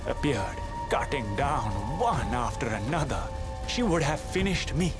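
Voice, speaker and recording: An actor playing a battle-worn, grieving soldier in a video game, with a halting, solemn dramatic delivery. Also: male voice, mid-range voice, very animated. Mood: anguished grief. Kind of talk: video game character acting. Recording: loud music behind.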